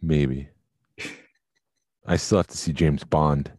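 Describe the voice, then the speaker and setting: Man, very deep voice, podcast co-host, casual musing talk, dry close sound. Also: fairly even intonation, takes it slowly, darkish tone, dry recording. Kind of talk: casual chat about films.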